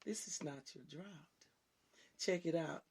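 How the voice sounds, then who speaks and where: A woman with a raspy voice that people on the phone mistake for a man's, preaching with fervour as host of her show and swinging from loud exclamations to hushed asides. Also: takes it slowly. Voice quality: raspy, deep-sounding voice often taken for a man's on the phone. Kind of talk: impassioned preaching on a talk show.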